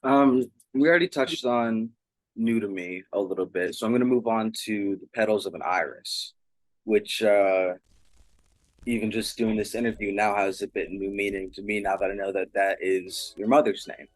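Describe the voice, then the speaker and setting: A man working as an interviewer, asking long, earnest questions in a measured conversational way. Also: on the low side, steady tone, dry recording, rough recording.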